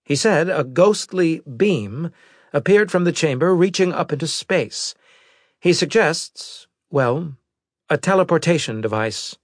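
A man voicing a brisk, formal female military intelligence officer giving precise reports in an audiobook. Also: fairly high pitch, lively intonation, no echo, studio quality, pitched above the performer's own voice.

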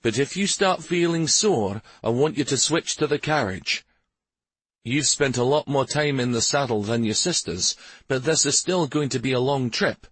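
A man voicing a caring, watchful father giving gentle advice in an audiobook story. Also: medium pitch, animated, moderate pace, slightly rough, no echo, pitched above the performer's own voice, low-quality recording. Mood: concerned, advising.

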